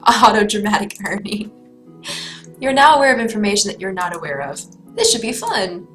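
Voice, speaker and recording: A woman's voice: mid-range voice, a bit bright. A woman streamer reading a choose-your-own-adventure book aloud, joking and laughing between lines. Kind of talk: live read-aloud with asides.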